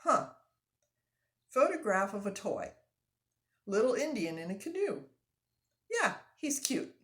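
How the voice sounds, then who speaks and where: A woman's voice: fairly high pitch, big pitch swings, slow, fairly bright tone, full character voice. A woman as an offhand, laconic sportsman. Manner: offhand, clipped phrases.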